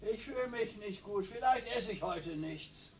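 Radio drama: a peevish old retired husband, high and up-and-down in pitch, slow, self-pitying. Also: male voice, animated, a little hiss or hum. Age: older. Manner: grumbling, self-pitying, whiny. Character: grumpy bored retired husband, older.

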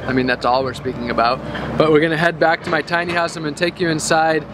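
A man's voice: fairly high pitch, steady tone, fast. A man in his thirties giving an enthusiastic, casual walk-through of his garden in a vlog, talking as he moves and eats. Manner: enthusiastic, gestures while talking and eating. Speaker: vlogger showing his garden. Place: outdoor urban garden, then indoors by a bookshelf. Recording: outdoor traffic noise in background.

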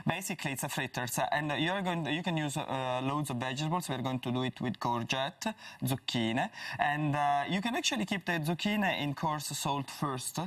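Brief: middle-aged Italian chef, Italian accent, teasing and flirty, halting English; lapel mic on a studio kitchen set, slightly off-mic. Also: male voice, a little high, fairly bright tone, distant mic. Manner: playful, flirty, halting non-native phrasing. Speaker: chef guest. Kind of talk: cooking demonstration on breakfast TV.

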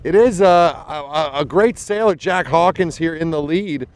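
A man's voice: on the high side, moderate pace. A man in his thirties giving chatty, enthusiastic live commentary on a sailing race from a small motorboat, speaking into a handheld mic with a windscreen. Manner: chatty, enthusiastic, many fillers and restarts. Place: small motorboat on open water, outdoors. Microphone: handheld mic with fuzzy windscreen.

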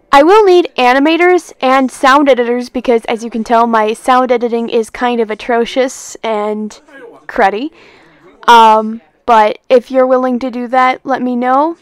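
A woman's voice: a little high, clean tone, no room sound. A young woman giving a casual, unscripted announcement in a rambling, hesitant and self-deprecating way, as the creator of an amateur project.

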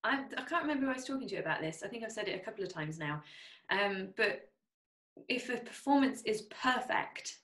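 A woman's voice: mid-range voice, animated, fairly quick, a bit bright. Chatty young woman host, roomy sound. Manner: chatty, many ums, seeks agreement. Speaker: podcast host. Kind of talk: casual interview chat. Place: small home studio. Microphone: studio condenser mic. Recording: roomy echo.

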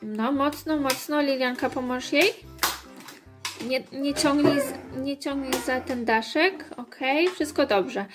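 A woman in her thirties with a fairly high voice. She explains simply to children at an unhurried pace, pausing with some hesitation, as in a homemade video. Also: over loud music. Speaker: host of children's videos, mother. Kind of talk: casual instructional chat with children.

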